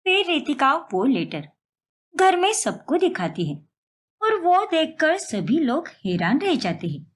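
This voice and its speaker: A woman reads scripted story narration. Her voice sits high and moves animatedly up and down as she tells the tale. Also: big pitch swings, measured pace.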